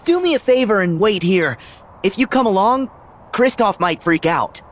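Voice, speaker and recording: An actor playing an earnest, upbeat teenage boy hero in a video game, eager, friendly and expressive. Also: sits high, normal speed, a little hiss or hum. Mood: curious, concerned. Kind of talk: video game cutscene acting.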